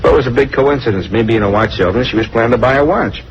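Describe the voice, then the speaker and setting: Casting: man to play nervous American traveling salesman, defensive and rambling, quick delivery, dull noisy vintage radio sound. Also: mid-range voice, close to an everyday voice. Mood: explaining. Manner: rambling, colloquial, self-justifying. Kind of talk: 1950s radio crime drama acting.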